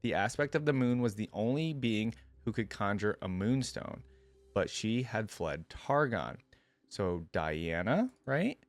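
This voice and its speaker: A man's voice: fairly low pitch, expressive, measured pace, no echo. A man hosting a reaction video, switching between casual, think-aloud commentary and reading text aloud.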